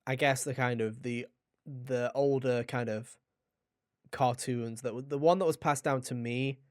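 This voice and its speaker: Young male podcast host, opinionated and chatty, mid-pitched, recorded close and dry. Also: steady tone, a little slow, clear, resonant tone, no room sound. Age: young adult. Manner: opinionated, blunt, swears; rambling, anecdotal storytelling. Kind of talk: casual gaming podcast chat.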